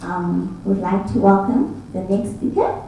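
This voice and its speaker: A woman's voice: mid-range voice, measured pace, vocal fry, mellow. Echoey, distant Zimbabwean woman presenting. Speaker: conference speaker. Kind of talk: presentation pitch to an audience. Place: indoor event room with tables. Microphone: handheld mic, picked up by far camera. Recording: distant, echoey room with faint noise.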